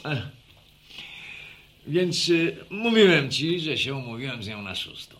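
An actor playing a boastful, wry ex-soldier villager with a taste for drink, telling tales in a mock-pompous drawl in a radio drama. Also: male voice, fairly high pitch, big pitch swings, a little slow, a bit bright, a little hiss or hum. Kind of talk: Polish radio drama acting.

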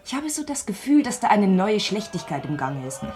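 An actress in a radio play voicing a fearless, sharp-tongued young woman with a pert, mocking manner. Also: medium pitch, sing-song, fairly quick, soft background music, lightly acted. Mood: knowing, brisk. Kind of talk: German radio play acting. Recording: crowd noise behind.

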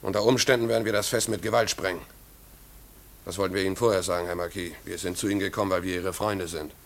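A man's voice: low voice, fairly even intonation, quick pace, vocal fry. An actor playing a solemn village man in a 1950s radio play, respectful in address but blunt and firm. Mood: firm, defiant.

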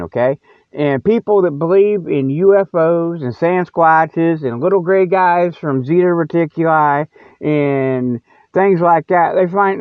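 A man's voice: fairly high pitch, no room sound. A man hosting his own radio show, rambling solo in a folksy, colloquial, teasing way. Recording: tinny, band-limited radio sound, very dry.

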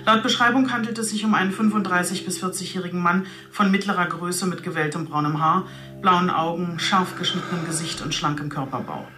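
A woman's voice: fairly low pitch, brisk, pushed and bright, soft background music. A woman playing a police chief inspector in a radio drama, articulate, earnest and matter-of-fact. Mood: formal, composed. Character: determined, composed female police chief inspector. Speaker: police detective. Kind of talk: scripted radio drama dialogue.

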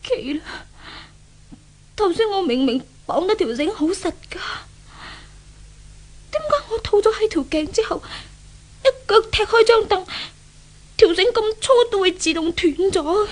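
A woman's voice: very high voice, animated, measured pace, long pauses. An actress playing an anguished, suicidal young rich lady haunted by a ghost, in theatrical Hong Kong Cantonese 1970s radio drama style. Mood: bewildered, distressed. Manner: theatrical soliloquy, exclaiming and lamenting. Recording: old 1970s radio drama with background music.

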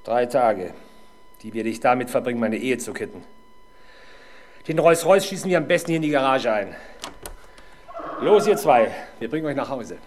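An actor playing a world-weary but kind-hearted middle-aged police inspector in a radio crime drama, grumbling with dry irony and giving orders. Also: male voice, medium pitch, expressive, normal speed, pauses often, slight background noise, natural voice. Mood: dryly magnanimous. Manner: grumbling, dryly ironic, commanding. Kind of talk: 1970s German radio crime drama acting.

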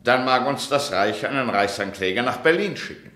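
A stern, authoritative court president in a radio play. He declaims formally and firmly in a medium-pitched voice. The chamber around him sounds roomy and echoing. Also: lively intonation, normal speed, pushed and bright. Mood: firm, defiant. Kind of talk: German radio play acting.

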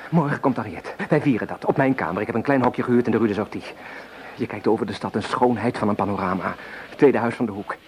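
An actor playing a fervent young revolutionary fighter in a Dutch radio play, impassioned and urgent. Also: male voice, on the low side, fast talker, lightly acted. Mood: fervent, pleading. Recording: noisy old radio drama recording.

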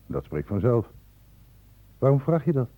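An actor playing a brisk, sceptical public health medical inspector in a radio play. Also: male voice, medium pitch, big pitch swings. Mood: puzzled, dismissive. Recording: tinny, noisy old radio play recording.

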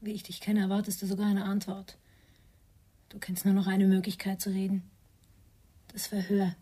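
An actress playing an estranged wife in a radio crime drama, resigned and coolly reproachful. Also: female voice, medium pitch, completely flat delivery, normal speed, dark tone, breathy edge, close to an everyday voice.

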